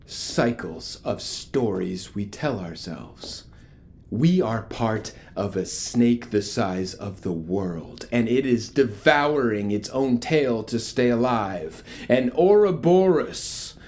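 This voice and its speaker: An actor playing a charismatic, ominous cult leader in a horror audio drama, preaching grandiosely with rhetorical pauses. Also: male voice, mid-range voice, expressive, a little mellow. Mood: fervent, grandiose. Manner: theatrical, grandiose, pausing for rhetorical effect.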